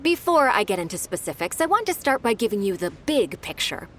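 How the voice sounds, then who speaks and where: A woman voicing a dutiful, efficient secretary in a video game, polite, businesslike and expressive. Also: medium pitch, fairly quick, a little hiss or hum, natural voice. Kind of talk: scripted video game dialogue. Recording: background music behind voice.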